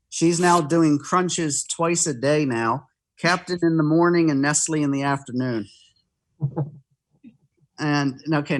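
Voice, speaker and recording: A man presenting on a video call from home, casually explaining things to a group. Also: on the high side. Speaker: guest presenter. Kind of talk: casual explaining before a slideshow.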